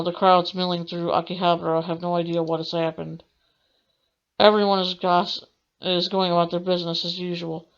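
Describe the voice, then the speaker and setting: A woman's voice: lowish voice, highs cut off. A woman hosting a gaming let's play, reading aloud in a dramatic manner with the odd stumble. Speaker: gaming channel host.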